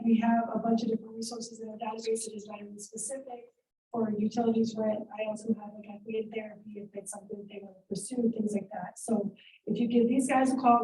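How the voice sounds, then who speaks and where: Woman resource manager, mellow higher voice, quick upbeat, roomy. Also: a little hiss or hum. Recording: echoey meeting room, far from mic.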